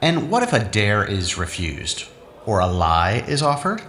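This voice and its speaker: A man's voice: on the low side, huge pitch swings, measured pace. A man playing a defiant, sardonic scientist with a dry, sarcastic delivery in an audio drama. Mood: wry defiance. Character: sardonic, defiant male scientist, reluctant newcomer. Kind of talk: scripted audio drama acting. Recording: echoey room with faint noise.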